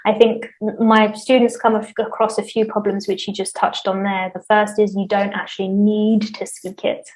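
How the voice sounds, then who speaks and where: Guest spot: British woman, thirties, teacher, steady flat pitch, chatty and articulate. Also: normal speed, hardly any pauses, low-quality recording. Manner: articulate, enthusiastic, many fillers. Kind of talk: conversational interview.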